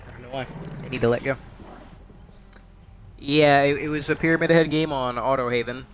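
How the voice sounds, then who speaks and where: A man's voice: medium pitch, fairly even intonation, a little fast, slight background noise. A young man chatting casually over his own gameplay, rambling and wry, poking fun at himself and at other players. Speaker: game streamer.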